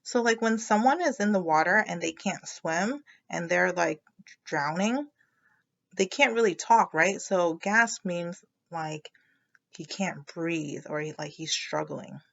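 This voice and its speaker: A woman teacher reading a picture book aloud to children, explaining expressively and pausing to check understanding with questions. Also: on the low side, gravelly, fairly bright tone, lo-fi sound.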